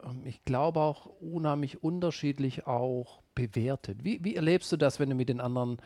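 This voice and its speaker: A man with a Swabian German accent talks softly and gently, asking questions in a relaxed chat. His voice is mellow and dark, recorded dry and close. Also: mid-range voice, normal speed, dry recording. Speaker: podcast co-host. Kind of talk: casual conversation.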